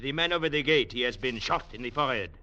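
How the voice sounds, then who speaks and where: In a muffled old radio recording, an anxious Legion sergeant. He speaks very fast, urgent but deferential, in 1940s drama style. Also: medium pitch, lively intonation, bright, forceful tone. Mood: alarmed.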